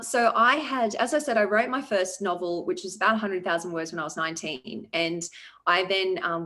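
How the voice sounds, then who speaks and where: A woman, a debut novelist talking through her path to publication in a relaxed, reflective way with many ums. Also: mid-range voice. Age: 40s. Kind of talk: conversational interview.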